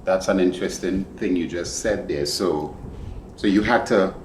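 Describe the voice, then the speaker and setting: A man hosting a podcast, interviewing a guest in a casual, rambling and animated way. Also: deep voice, moderate pace. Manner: animated, rambling, laughs easily. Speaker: podcast host. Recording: echoey room with background noise.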